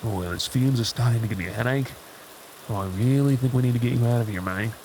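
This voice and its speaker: A man's voice: medium pitch, quick pace, a little mellow, a little hiss or hum, lightly acted, low-quality recording. A man with an Australian accent playing an injured, protective crash survivor in a dramatic audio roleplay, urgent, breathless and reassuring. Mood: worried.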